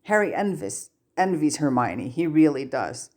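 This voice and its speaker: Podfic narrator, scripted story reading, medium pitch, audiobook style. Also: very animated, a little slow, a little mellow.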